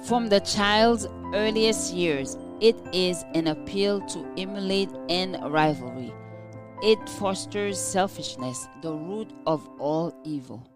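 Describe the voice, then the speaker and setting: A woman with a fairly low voice reads a scripted devotional. Her delivery is measured, formal and earnest. Music plays clearly behind her. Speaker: devotional reader.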